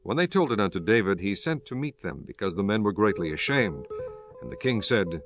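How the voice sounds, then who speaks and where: A man narrating dramatized King James scripture in a measured, solemn storytelling manner. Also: lowish voice, very animated, music in the background. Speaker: audiobook narrator.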